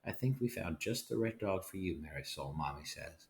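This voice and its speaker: Low male storybook read-aloud, faint noise. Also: expressive, moderate pace, creaky. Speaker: teacher reading a storybook aloud. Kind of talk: children's story read-aloud in English and Spanish.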